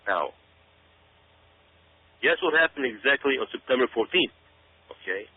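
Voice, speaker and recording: A man's voice: medium pitch, expressive, measured pace, breathy edge. A man giving unscripted talk-show commentary in an urgent, emphatic way, often stopping to check that listeners follow. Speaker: guest commentator. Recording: narrow, tinny phone-like radio sound.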